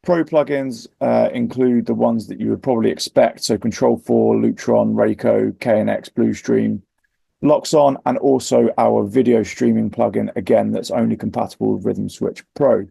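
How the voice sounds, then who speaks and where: Gravelly, creaky-voiced man presenting products. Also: lowish voice, steady tone, moderate pace, mellow, no room sound. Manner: explanatory, matter-of-fact.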